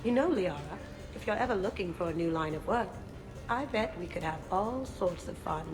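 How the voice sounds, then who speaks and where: A woman's voice: on the low side, lively intonation, normal speed, close to an everyday voice. A woman as a sly, teasing flirt. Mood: flirtatious. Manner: teasing, suggestive. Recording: music playing behind the voice.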